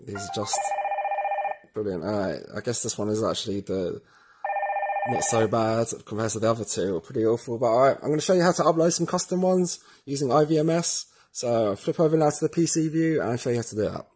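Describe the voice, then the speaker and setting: A man's voice: medium pitch, brisk, darkish tone, slight background noise. A man presenting a how-to tutorial in a casual, informal way, talking straight to viewers. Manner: informal, addresses viewers as "guys". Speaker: tutorial presenter for a tech retailer.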